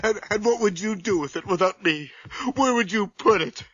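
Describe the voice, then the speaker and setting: A man's voice: high-pitched, normal speed, a bit bright, breathy edge. An actor playing a tormented, anguished man in a horror radio drama, desperate and stammering as he pleads. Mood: shaken, desperate. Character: terrified, anguished man tormented by his dead twin. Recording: dry, slightly dull radio drama recording.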